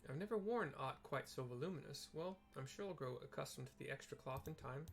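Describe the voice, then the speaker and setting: A man voicing a thoughtful, modest fantasy game recruit with formal, measured phrasing. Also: mid-range voice, animated, faint music behind, natural voice. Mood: reflective.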